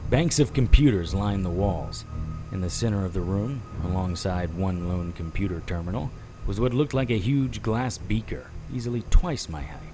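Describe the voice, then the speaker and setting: An actor playing a wry, calm, hard-boiled private investigator in a noir audio drama, speaking in a measured, deadpan way. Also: male voice, on the low side, natural voice. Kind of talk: first-person noir audio drama narration. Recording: music behind the voice.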